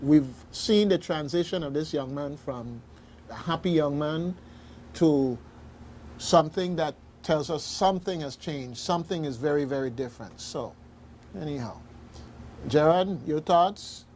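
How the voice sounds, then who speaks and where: A man's voice: on the high side, a little slow, darkish tone, a little hiss or hum. A man hosting a casual radio panel discussion.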